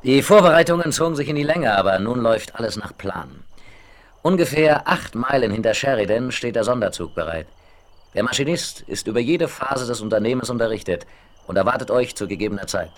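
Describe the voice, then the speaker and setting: An actor playing a businesslike railway engineer in a 1970s radio play, reporting in a matter-of-fact way. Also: male voice, medium pitch, animated, a little fast, a little hiss or hum, close to an everyday voice.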